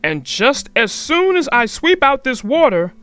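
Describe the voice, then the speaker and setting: A woman's voice: high-pitched, very animated, measured pace, fairly bright tone, pitched above the performer's own voice, exaggerated voice. A woman voicing a hearty, stubbornly optimistic father bear from a picture book, emphatic and blustery. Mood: blustery reassurance. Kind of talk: storybook character voice.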